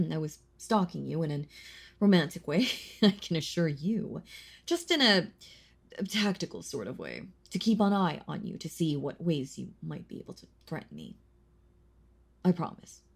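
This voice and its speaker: A woman voicing a possessive, centuries-old vampire in an intimate audio roleplay, husky and breathy, teasing and condescending, slipping into whispers. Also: lowish voice, heavily acted. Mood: amused teasing, then smug, possessive. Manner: slow, condescending, teasing, softly chuckling. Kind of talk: intimate audio roleplay monologue addressed to listener.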